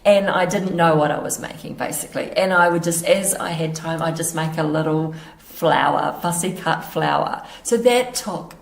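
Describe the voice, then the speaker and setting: A middle-aged woman with a New Zealand accent, slightly hoarse, chatting casually in an interview about her quilting, halting and laughing easily. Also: sits low. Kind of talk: casual chat. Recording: echoey room.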